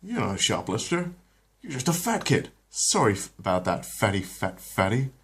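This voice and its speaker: A man's voice: medium pitch, big pitch swings, moderate pace, fairly bright tone, breathy edge, pitched above the performer's own voice, exaggerated voice. A man voicing a smug adult male security guard with a taunting manner.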